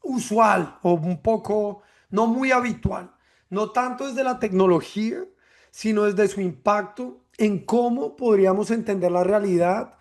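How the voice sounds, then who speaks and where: A man from hosts a podcast in a high, light voice. He talks in a measured, reflective way, often ending a thought with a tag question. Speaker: podcast host. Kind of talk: reflective podcast monologue and interview.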